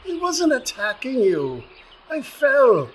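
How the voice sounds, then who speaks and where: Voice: high voice, huge pitch swings, slow, husky, exaggerated voice. An actor in a radio play voicing a grumpy, suspicious little leprechaun with an Irish accent, blustering and insulting.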